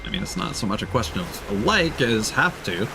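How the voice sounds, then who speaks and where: A man's voice: mid-range voice, sing-song, moderate pace, gravelly, noisy background. A man giving casual livestream gameplay commentary, thinking aloud in a rambling, jokey way. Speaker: video game streamer. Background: game ambience.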